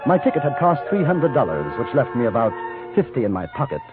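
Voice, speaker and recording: An actor playing a polite, educated English gentleman reporter, with an English accent and a dryly understated manner, in a 1950s radio western. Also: medium pitch, big pitch swings, quick pace, natural voice. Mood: measured narration. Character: composed, educated English gentleman reporter turned frontier adventurer. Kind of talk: 1950s radio western acting and first-person narration. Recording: muffled old radio recording with music.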